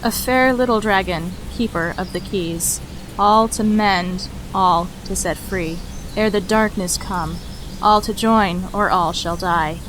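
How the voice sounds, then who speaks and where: A woman playing an older ancestral mage in a fantasy audio drama, speaking in a measured, formal and solemn way. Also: medium pitch, a bit bright, slight background noise, low-quality recording. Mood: solemn chanting.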